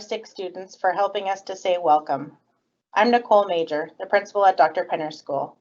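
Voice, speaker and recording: Woman principal, sincere thank-you address, mid-pitched, muffled recording. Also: a little mellow. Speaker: elementary school principal. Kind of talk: scripted school address. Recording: dull lo-fi sound.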